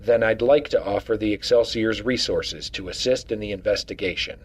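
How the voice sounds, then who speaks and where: An actor playing a male starship commander who speaks in measured, matter-of-fact orders with a husky voice. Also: lowish voice, lightly acted. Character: steady male starship commander, acting captain. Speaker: acting captain.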